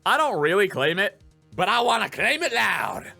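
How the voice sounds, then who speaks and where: A man's voice: high voice, big pitch swings, normal speed, gravelly, pushed and bright, soft background music. A man doing playful, theatrical gameplay commentary as he reads out game characters. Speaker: voice actor, gameplay host.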